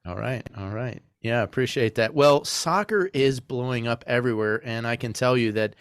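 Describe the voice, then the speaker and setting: A man hosts a relaxed podcast, teasing guests and handing the floor to each one. His voice is smooth and resonant. It is recorded close up and dry, with no room sound. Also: mid-range voice, moderate pace, dry recording. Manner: jokey, friendly, prompts guests. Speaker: podcast host. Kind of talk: hosting a casual podcast.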